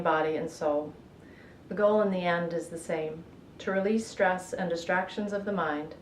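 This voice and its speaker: A woman guiding a relaxation meditation in a measured, soothing way, with gentle pauses. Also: lowish voice. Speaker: yoga nidra guide. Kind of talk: guided relaxation meditation, instructional narration. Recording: echoey room with faint background noise.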